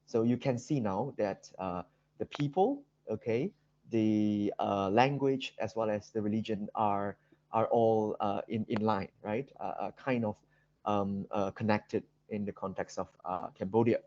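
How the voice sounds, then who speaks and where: A man with a Malaysian accent giving an academic seminar presentation, speaking haltingly with very frequent ums and repeated words. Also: lowish voice, darkish tone. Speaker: guest lecturer. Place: online video call seminar. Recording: dull, roomy video-call audio.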